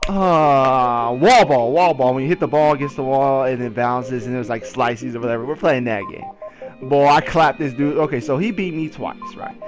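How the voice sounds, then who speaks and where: A young American man casually telling personal stories in a slangy, repetitive, animated way. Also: medium pitch. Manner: slangy, repetitive, animated retelling with acted quotes. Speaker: gaming video storyteller. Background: background music with guitar.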